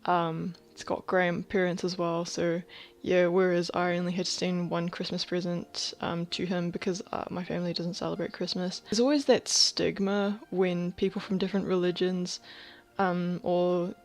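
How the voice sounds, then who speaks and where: A woman in her twenties from Thailand, talking candidly in a personal video diary, rambling with lots of fillers and soft laughs. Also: on the low side, level tone, moderate pace. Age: 20s. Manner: drops to a whisper about housemates. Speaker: vlogger.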